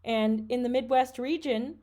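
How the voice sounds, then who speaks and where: A woman's voice: a little high, measured pace, mellow. A woman from Michigan hosting a podcast, earnestly talking through concerns about education and reading out statistics. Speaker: podcast host and French teacher. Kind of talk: solo podcast commentary.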